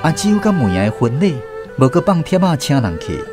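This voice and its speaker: An actor with a Taiwanese accent narrating a family tale as the storyteller in a radio drama. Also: male voice, on the high side, very animated, fairly quick, music in the background.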